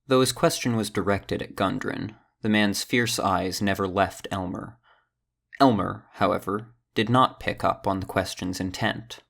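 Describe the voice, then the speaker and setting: Deep, gravelly male narrator. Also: unhurried. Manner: steady storytelling, does all character voices. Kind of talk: audio drama narration.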